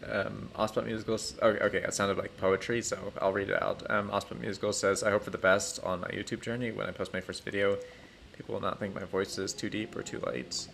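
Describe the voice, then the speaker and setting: Low, gravelly man rambling over background noise. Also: little pitch movement, a bit bright. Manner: rambling, halting, thinking aloud; dry, self-deprecating humour. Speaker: livestreamer playing a building game. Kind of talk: casual gaming stream chat.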